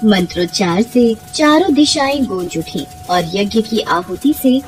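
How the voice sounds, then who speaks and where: A woman's voice: mid-range voice, animated, measured pace, soft background music. A woman narrating a scripted devotional story as a storyteller.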